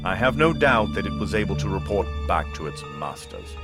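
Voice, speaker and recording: An actor playing an ancient, scheming dark lord in an audio drama, with a pompous, formal and menacing delivery. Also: male voice, deep voice, very animated, brisk. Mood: brooding, scheming. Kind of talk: theatrical audio drama villain monologue. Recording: music behind the voice.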